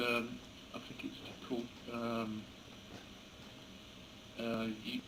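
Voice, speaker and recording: A man chatting casually as an amateur radio operator, halting and hesitant as he talks. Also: mid-range voice, monotone, noisy background. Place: indoors beside a computer.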